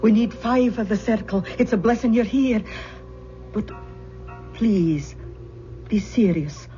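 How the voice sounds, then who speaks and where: An actress playing a solemn Scottish medium leading a seance in an old-time radio drama, speaking deliberately. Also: female voice, mid-range voice, mellow, close to an everyday voice. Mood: earnest, firm. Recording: dull radio recording with music behind.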